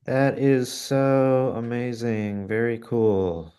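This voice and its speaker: A man's voice: mid-range voice, slow pace, dark tone, clean tone, close to an everyday voice. Casting: actor as talkative, self-promoting male colleague from the fashion world, mid-range voice, drama read. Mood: matter-of-fact. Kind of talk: screenplay table read.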